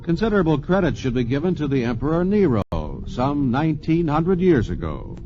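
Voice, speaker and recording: Man narrating an old radio science show, lively delivery, music behind, lo-fi. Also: fairly high pitch, fairly quick. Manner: genial, explanatory. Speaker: narrator, host. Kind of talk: scripted narration. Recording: dull transcription disc recording with music.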